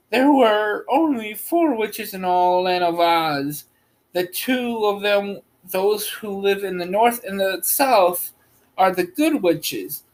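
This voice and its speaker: A woman's voice: medium pitch, pitched above the performer's own voice. A woman voicing a kindly, grateful little old good witch in a storybook read-aloud.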